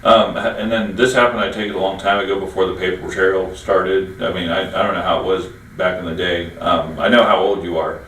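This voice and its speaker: Host: man, low voice, friendly and rambling, roomy far-off sound. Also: flat delivery, a little fast, few pauses, fairly bright tone, a little hiss or hum. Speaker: interview host. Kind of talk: local business interview show.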